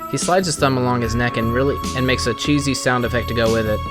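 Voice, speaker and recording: A man's voice: medium pitch, fairly even intonation, quick pace. A man with an American accent, a game streamer reading visual novel narration aloud. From Missouri, United States. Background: guitar music.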